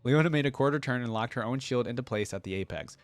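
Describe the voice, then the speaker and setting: A man's voice: mid-range voice, fast, no room sound. A man hosting a reaction video, reading a story aloud and commenting in a casual, slangy way.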